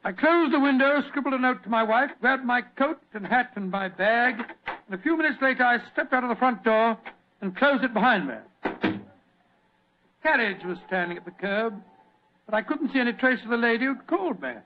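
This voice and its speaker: An actor playing an elderly British doctor who reminisces in a fussy, hesitant, gentlemanly way, as in vintage radio drama. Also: male voice, extremely high pitch, lively intonation, fairly quick. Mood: storytelling. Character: elderly genial doctor reminiscing, easily flustered and indignant. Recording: muffled, noisy old radio drama recording.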